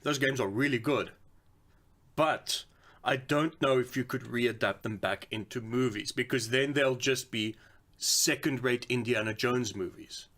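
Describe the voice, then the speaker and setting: Male voice, mid pitch, informal chat, podcast co-host. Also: unhurried, a bit bright. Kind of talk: casual chat about films and games.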